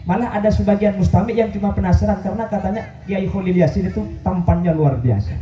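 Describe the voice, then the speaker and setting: A man's voice: sits high, quick pace, mellow. A man preaching an Islamic sermon to a gathering, addressing listeners directly in a joking manner and mixing in Arabic quotations. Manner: joking, self-deprecating, addresses the audience directly. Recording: roomy, distant, noisy live gathering recording.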